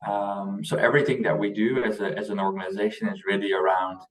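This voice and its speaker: A man's voice: on the low side, little pitch movement, fast talker, echoey room, far from the mic, poor audio. A young man with a Dutch accent, a native Dutch speaker, answering questions as an interview guest in a conversational way. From Netherlands. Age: young adult. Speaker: interview guest, youth organization leader.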